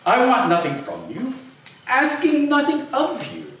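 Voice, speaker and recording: A man's voice: extremely high pitch, wildly swooping pitch, a little slow, a bit bright, full character voice. A man playing a cheerful, good-natured young nephew, earnest and pleading, in an amateur comic play reading. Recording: very echoey room, distant, faint noise.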